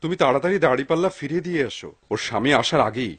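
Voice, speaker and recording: A man playing a humble, pious, honest poor man in a dubbed cartoon, earnest and husky-voiced. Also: medium pitch, animated, rapid-fire, dry recording. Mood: thoughtful.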